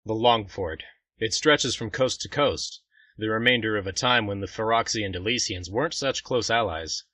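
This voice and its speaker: A man's voice: on the low side, moderate pace, bright, forceful tone, dry recording. A man playing a proud young prince and commander, speaking formally and firmly in a fan audio drama. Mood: matter-of-fact.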